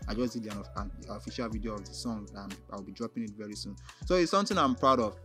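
A man with a Nigerian, Igbo-influenced accent, a native Igbo speaker, answering casually as an interview guest, often pausing and circling back as he thinks aloud. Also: lowish voice, over music. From Onitsha, southeastern Nigeria. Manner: halting, frequently repeats "like" and "you know" while thinking aloud. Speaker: music artist interviewed as guest.

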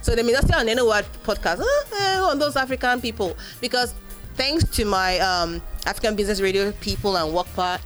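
A woman's voice: medium pitch, a little fast, soft background music. A Nigerian woman whose first language is Igbo, telling her story in a live interview with lots of fillers and acted-out quotes. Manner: animated storyteller, acts out quoted voices, frequent fillers. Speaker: podcast host being interviewed.

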